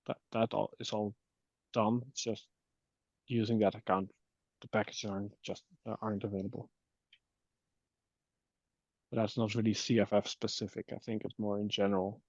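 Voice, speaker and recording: A man talking through an issue in a work meeting on an online call, with halting, hesitant phrasing. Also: on the low side, darkish tone, no room sound. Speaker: committee member in a technical meeting. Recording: muffled, dull call audio.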